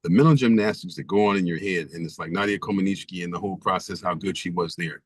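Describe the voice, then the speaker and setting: A man's voice: deep voice, fast talker, no room sound. A man guest author in an interview, speaking emphatically and stacking rhetorical questions. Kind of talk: interview conversation.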